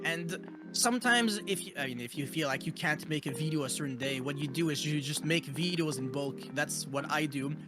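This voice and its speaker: A man's voice: fairly high pitch, fairly quick, over music. A man from Montreal with a Quebec French accent, French his first language, chatting casually as an interview guest and leaning on lots of "like" and "you know". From Montreal, Canada. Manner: loose, filler-heavy with frequent "like" and "you know". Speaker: guest content creator.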